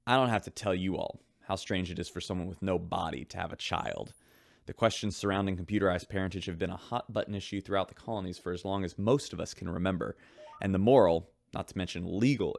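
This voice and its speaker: An actor playing a chatty, gossipy radio show host on a spaceship in an audio drama. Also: male voice, low-pitched, gravelly, no echo, studio quality. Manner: chatty, gossipy announcer delivery. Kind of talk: radio drama acting as a broadcaster.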